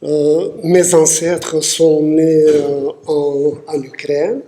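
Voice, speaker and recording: A man gives halting answers in a poet's interview, full of pauses and filler sounds. His voice is slightly raised in pitch, yet very dark and mellow in tone. He speaks at a very slow, deliberate pace. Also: level tone. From Moldova. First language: Russian. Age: 60s. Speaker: guest poet.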